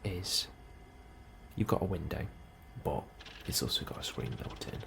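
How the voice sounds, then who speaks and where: A man in a soft, hushed ASMR role-play, speaking calmly and unhurriedly as a helpful colony immigration officer, close to the microphone. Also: extremely low pitch. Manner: hushed, unhurried, sometimes dropping into whisper. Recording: clearly audible background ambience noise.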